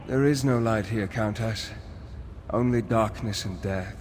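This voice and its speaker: An actor playing a grave, regretful vampire count and mentor in a video game, with solemn, measured, formal speech. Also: male voice, on the low side, a little mellow, close to an everyday voice. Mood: somber. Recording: clear background noise.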